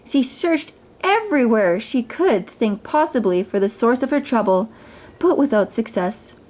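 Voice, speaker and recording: A woman narrates a children's storybook. Her voice sits fairly high and moves through lively, wide intonation. She reads expressively, dramatizing the tale for young listeners. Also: a little slow. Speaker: mother reading stories for children. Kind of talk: storybook narration read aloud.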